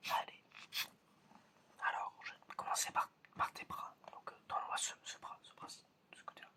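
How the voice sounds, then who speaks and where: An ASMR performer speaks almost entirely in whispers, with a bright, hissy edge. The delivery is a hesitant murmur that circles back over short phrases, as in a roleplay examination. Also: moderate pace.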